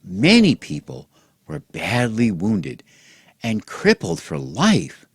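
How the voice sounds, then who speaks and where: A man hosting and telling a mock children's bedtime story in an exaggerated gentle, sing-song way, as if speaking to small children. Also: on the low side, wildly swooping pitch, slow, breathy edge, no room sound, studio quality. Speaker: host and storyteller.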